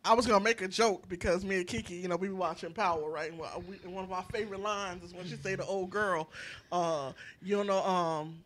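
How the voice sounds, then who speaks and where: A woman hosting a podcast with lively, slangy, playful banter, often repeating phrases for emphasis. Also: on the low side, fairly quick. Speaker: podcast host.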